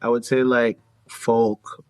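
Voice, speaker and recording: A man from Canada talking casually as an interview guest, a performer thinking aloud in short, halting phrases. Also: medium pitch, little pitch movement, dry recording, clean studio sound. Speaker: guest, singer-songwriter and theater performer.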